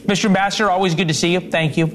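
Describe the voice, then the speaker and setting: A man's voice: high-pitched, steady tone, very fast, fairly bright tone, a little hiss or hum. A man hosting a cable news interview show, conversational and wry, with occasional fillers.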